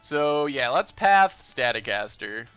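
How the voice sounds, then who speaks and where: A man's voice: medium pitch, big pitch swings, takes it slowly, fairly bright tone, clear, resonant tone, no echo, soft background music. A man streaming a card game, narrating his plays and chatting with viewers in an upbeat, conversational way. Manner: upbeat, chatty, reads and answers viewer messages. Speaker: streamer and gameplay commentator. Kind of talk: live-stream gameplay commentary with chat replies.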